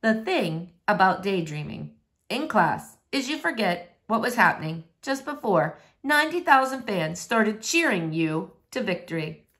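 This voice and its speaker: A woman reading a children's novel aloud in an expressive storytelling style. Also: medium pitch, measured pace. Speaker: storybook reader.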